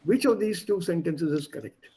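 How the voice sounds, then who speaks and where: Husky Indian man teaching German online. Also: sits high, animated, dark tone. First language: Gujarati. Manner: explanatory, slows down to stress key words. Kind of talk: online language class.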